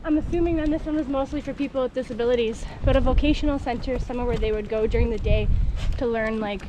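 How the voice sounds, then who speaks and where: A woman explaining things in a casual, matter-of-fact way while exploring outdoors. Her voice sits a little high. Clear outdoor ambience runs behind her on the camera recording. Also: fast. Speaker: vlogger exploring abandoned asylum grounds. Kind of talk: casual chat while walking. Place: outdoors on abandoned grounds. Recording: outdoor background noise.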